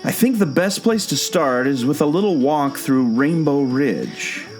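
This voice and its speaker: A man's voice: fairly high pitch, animated, unhurried, darkish tone, soft background music. A man playing an eager, knowing explorer guide in a scripted audio drama, talking like an earnest storyteller. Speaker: co-host of a theme park podcast.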